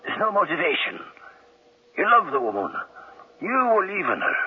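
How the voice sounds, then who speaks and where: An actor in a radio drama playing a gentle priest who soothingly counsels and questions a troubled man. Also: sits high, wildly swooping pitch, moderate pace, a little hiss or hum, full character voice. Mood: consoling. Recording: dull old radio broadcast.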